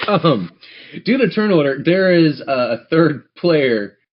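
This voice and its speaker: A man's voice: a little high, big pitch swings, slow pace, muffled recording. A man running a tabletop game as game master, narrating scenes descriptively and speaking straight to his players. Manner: descriptive, addresses players directly.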